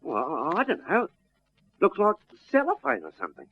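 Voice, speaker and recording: An actor playing a bemused young shop assistant with a husky voice in a classic radio detective drama. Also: male voice, sits high, wildly swooping pitch, moderate pace, slight background noise. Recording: muffled vintage radio drama recording.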